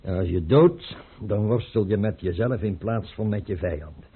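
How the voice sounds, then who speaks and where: An actor playing a tormented, suspicious, hard-drinking tsar in an old radio drama, theatrical and given to outbursts. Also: male voice, lowish voice, moderate pace, breathy edge. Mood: brooding, emotional. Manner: theatrical, brooding, swinging into outbursts. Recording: muffled, narrow-band old radio recording.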